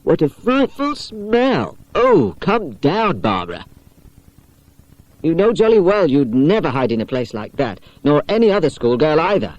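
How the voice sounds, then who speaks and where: A man voicing an excitable, easily indignant schoolgirl detective in a storybook, emphatic and full of exclamation. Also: on the high side, unhurried, lo-fi sound, voice pushed up.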